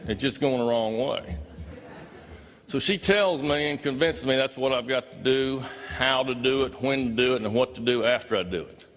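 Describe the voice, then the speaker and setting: A man's voice: medium pitch, moderate pace. A man tells his own story as a live talk, in a folksy, emphatic way. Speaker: speaker recounting his own experience. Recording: reverberant hall.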